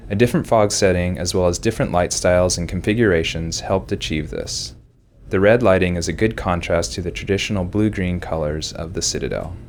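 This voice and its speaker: A man with a very deep voice delivers scripted commentary as a game developer. Some noise sits behind his voice. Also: a little fast.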